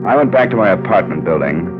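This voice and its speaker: An actor playing a cool, skeptical American insurance investigator in a radio crime drama, terse and matter-of-fact. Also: male voice, low voice, animated, fast talker. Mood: grave narration. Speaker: lead actor and first-person narrator. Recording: muffled old radio recording, music behind.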